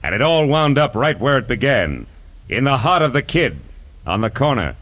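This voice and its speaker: A man's voice: medium pitch, sing-song, normal speed, over loud music, lightly acted. A man playing a wry, tough private detective, acting and narrating in 1940s radio noir style. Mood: brooding narration. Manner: sardonic, hard-boiled. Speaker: lead actor in radio detective drama. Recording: vintage 1940s radio broadcast recording.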